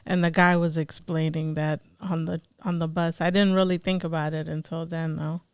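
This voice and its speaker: Smooth-voiced easygoing woman. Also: low voice, flat delivery, normal speed, dry recording. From Ethiopia. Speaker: podcast co-host. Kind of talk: casual conversation.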